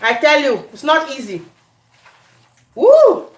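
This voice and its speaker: A woman with a Cameroonian accent chatting casually to viewers as she cooks, animated and conversational, often repeating her questions and phrases. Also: sits high, slow pace. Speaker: cooking vlogger. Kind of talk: casual live chat while cooking. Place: indoor home kitchen. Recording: echoey room.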